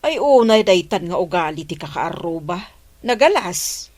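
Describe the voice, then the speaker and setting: A woman's voice: lowish voice, very animated, normal speed, darkish tone. A woman with an Ilocano accent playing a disapproving, gossipy villager in a comedy radio drama.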